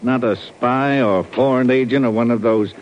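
A man's voice: medium pitch, moderate pace, lightly acted. An actor playing a chatty, folksy American travelling salesman in 1950s radio drama style. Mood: jovial, chatty. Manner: chatty, hearty. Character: folksy, talkative American shoe salesman, eager for adventure. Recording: muffled, noisy vintage radio broadcast.

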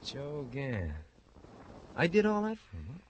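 A man's voice: on the high side, huge pitch swings, a little slow, highs cut off. A smug American gangster gone respectable in a vintage radio crime drama. His theatrical delivery swoops widely, full of florid, needling false friendliness, over a slightly noisy old broadcast. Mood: mock innocent. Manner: florid, theatrical, drawling repetitions, needling chuckles. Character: slick, mocking hoodlum turned respectable businessman, smugly false-friendly.

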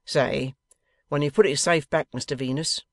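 A man's voice: on the high side, fairly even intonation, moderate pace, no room sound, studio quality, natural voice. Man voicing a sly, sneering, bullying Victorian rogue. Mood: strained. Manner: contemptuous, blustering, colloquial Cockney turns of phrase. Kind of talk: dramatised audiobook character voice.

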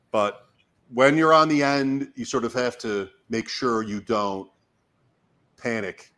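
Hoarse man thinking aloud. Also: low voice, fairly bright tone. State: hoarse from talking. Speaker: fantasy football show host giving draft advice. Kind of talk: casual talk, live draft commentary.

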